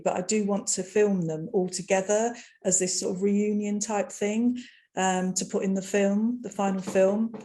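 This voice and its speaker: A woman's voice: medium pitch, dark tone. A woman casually recounting her own project experience as a participant in an online discussion. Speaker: webinar participant, interview project maker. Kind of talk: casual anecdotal chat. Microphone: video call.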